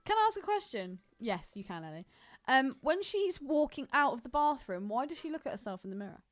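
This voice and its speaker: A woman's voice: sits high, very animated, brisk. A British woman co-hosting a film podcast, chatting casually with irreverent, sweary banter. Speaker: podcast co-host.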